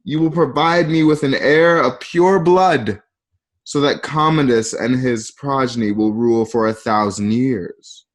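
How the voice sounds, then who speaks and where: A man acting a cruel, coldly threatening Roman emperor in a radio play, slow and theatrical with pauses. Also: mid-range voice, close to an everyday voice. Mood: cold menace. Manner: slow, theatrical, pausing between phrases.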